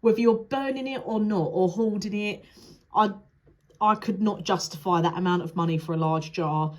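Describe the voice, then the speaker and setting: A woman's voice: mid-range voice, moderate pace, darkish tone. A young woman talking through a candle review in a chatty, frank way. Her voice sounds nasal and blocked up from a cold, with the odd sniffle. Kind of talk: casual product review vlog.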